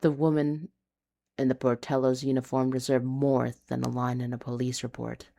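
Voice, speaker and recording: A woman narrating a scripted noir fantasy story in an intense, deliberate, confessional manner. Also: rumbling low, level tone, creaky, mellow.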